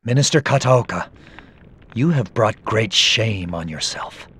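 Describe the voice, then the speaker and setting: An actor playing a stern, honorable ninja assassin in a video game, speaking in a formal, solemn way. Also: male voice, on the low side, lively intonation, a little slow, a bit bright, husky, faint music behind, full character voice. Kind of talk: video game cutscene acting.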